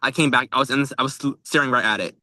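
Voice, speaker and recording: A man's voice: medium pitch, very fast, sharp tone, no echo, clean studio sound. A young man chatting casually as the co-host of a book club podcast. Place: outdoors by a tree at a lake.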